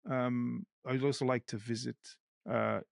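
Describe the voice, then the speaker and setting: Man with gravelly voice. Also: mid-range voice, level tone, normal speed, dry recording, studio quality. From Portugal. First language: Portuguese. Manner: thoughtful, searches for words aloud. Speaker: podcast guest. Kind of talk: casual conversation.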